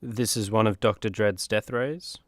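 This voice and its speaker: A man's voice: lowish voice, fairly even intonation, normal speed, no echo, clean studio sound, natural voice. A man playing a proud, nostalgic superhero showing off mementos in a comedy radio play. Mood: proud, informative. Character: proud, nostalgic adult male superhero giving a tour.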